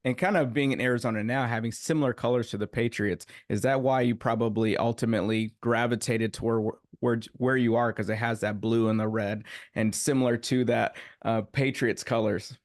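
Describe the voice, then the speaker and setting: A man's voice: medium pitch, steady tone, fairly quick, hardly any pauses. Male podcast host, casual and friendly, asks easygoing questions. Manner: casual, often says "kinda". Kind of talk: podcast interview.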